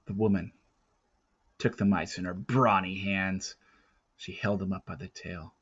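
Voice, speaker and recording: A man reading a children's novel aloud with deliberate, dramatic phrasing. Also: sits low, fairly even intonation, fairly bright tone, breathy edge, dull audio. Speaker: story reader. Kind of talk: expressive read-aloud narration of a children's novel.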